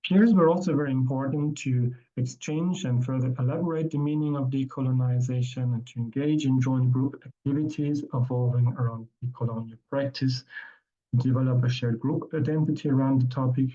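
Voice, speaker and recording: A man presenting his research at an academic conference, speaking in a measured way with frequent ums and restarts. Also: mid-range voice, steady tone, mellow, muffled recording.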